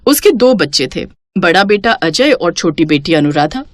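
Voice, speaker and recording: A woman's voice: fairly low pitch, lively intonation, moderate pace, dry recording, studio quality. A woman narrating a scripted story as its storyteller.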